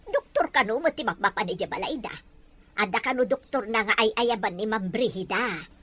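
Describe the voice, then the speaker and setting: Woman acting a grumbling, sharp-tongued wife. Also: fairly high pitch, lively intonation, brisk. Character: sharp-tongued older wife and mother, gossiping. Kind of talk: Ilocano audio drama acting.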